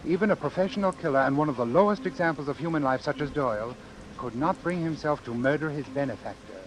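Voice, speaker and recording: An actor playing a coldly calculating, articulate doctor with formal clinical diction in a vintage radio suspense drama. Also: male voice, a little high, quick pace, close to an everyday voice, low-quality recording. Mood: coolly reflective.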